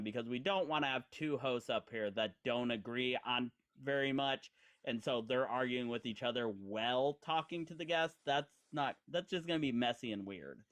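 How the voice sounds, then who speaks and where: A man in his forties, from Indiana, talking casually as a show host. His voice sits at an ordinary middle pitch. He rambles and teases, with frequent "um"s and "like"s. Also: a bit bright. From Indiana, USA. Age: 40s. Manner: rambling, chatty, with lots of fillers and teasing. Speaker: podcast host. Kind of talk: casual live-stream chat.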